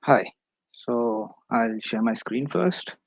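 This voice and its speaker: Dry recording, man, mid-range pitch, research presenter, prepared academic talk, video call. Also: expressive, takes it slowly, creaky, slightly rough, no echo.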